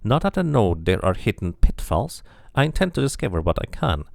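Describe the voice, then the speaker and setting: Brief: male streamer doing a formal, earnest man from a fantasy game, a little raised, gravelly, expressive. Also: lowish voice, fairly quick, no room sound, clean studio sound. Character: solemn man newly regaining his name. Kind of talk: amateur game voice acting.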